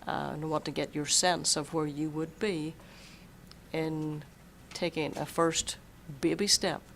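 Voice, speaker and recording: A superintendent who is losing their voice a bit, explaining a budget at a school board meeting in a measured way and repeating words while thinking. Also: mid-range voice, little pitch movement, gravelly, fairly bright tone, slight background noise. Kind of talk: budget presentation at a public meeting.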